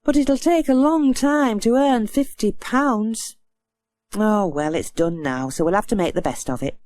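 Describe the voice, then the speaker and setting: A woman's voice: mid-range voice, a little slow, a little mellow, no room sound, natural voice. A woman voicing an exasperated, practical wife and mother in a children's storybook recording. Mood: resigned, amused. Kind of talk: audiobook character acting.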